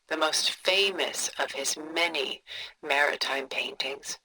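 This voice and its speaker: An actress playing a refined, cultured, coolly composed older woman in a video game, speaking in a poised, deliberate, articulate way. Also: sits low, bright, forceful tone, slight background noise, poor audio. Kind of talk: scripted video game dialogue, art commentary.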